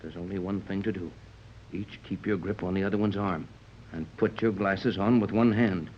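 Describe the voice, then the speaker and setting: An actor playing a grave, commanding American sea captain who gives firm, deliberate orders in a 1930s radio adventure serial. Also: male voice, low voice, steady tone, close to an everyday voice. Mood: stern, urgent. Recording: muffled, lightly noisy 1930s radio recording.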